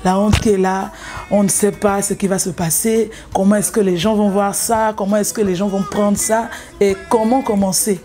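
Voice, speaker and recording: A woman's voice: mid-range voice, fairly even intonation, normal speed, mellow, faint music behind. A young woman from Gabon, a singer, telling her story in an interview, hesitant, with many fillers and restarts. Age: young adult.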